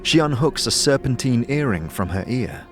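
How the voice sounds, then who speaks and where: A man's voice: mid-range voice, lively intonation, moderate pace, music in the background. A man reading scripted second-person fantasy narration as the storyteller of an audio drama. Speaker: narrator and protagonist's voice.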